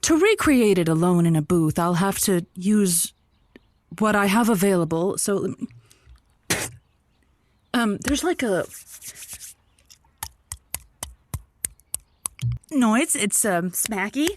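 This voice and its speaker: A woman's voice: mid-range voice, big pitch swings, a little slow, long pauses. An actress playing a female radio advice host who is awkwardly game and slyly jealous, with hesitant, theatrical delivery and sardonic asides. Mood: awkward explaining. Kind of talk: scripted comedy audio drama.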